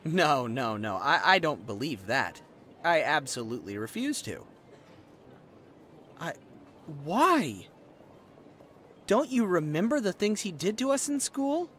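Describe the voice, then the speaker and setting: Man acting resentful young man, audio drama, unhurried pace, lively pitch, sarcastic, confrontational. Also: slight background noise, full character voice. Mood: bitter, scornful. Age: young adult. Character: resentful, scornful young man, former bullying victim.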